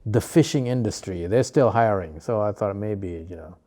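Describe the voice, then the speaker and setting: A man in his fifties from Malaysia, an artist talking about his life in an interview, unhurried and full of pauses and fillers. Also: on the low side, mellow. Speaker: artist guest. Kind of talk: relaxed interview conversation.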